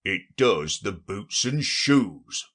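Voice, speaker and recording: A man voicing a solemn, impatient male gryphon, speaking slowly in a deep, husky voice. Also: lively intonation, a bit bright, exaggerated voice. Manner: slow and solemn, turning impatient.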